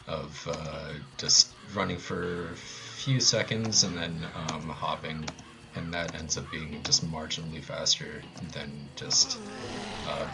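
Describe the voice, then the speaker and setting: A man's voice: a bass voice, background noise. A man with an American accent giving casual, laid-back live commentary as he plays a speedrun.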